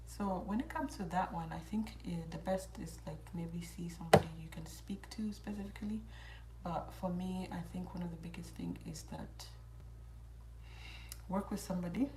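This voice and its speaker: A woman's voice: lowish voice, steady tone, moderate pace. A woman with an East African accent chatting informally on a livestream as she answers viewers' questions. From South Sudan, grew up in Kenya. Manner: informal, switches between English and Swahili. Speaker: host of a hair channel. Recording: roomy echo, faint background noise.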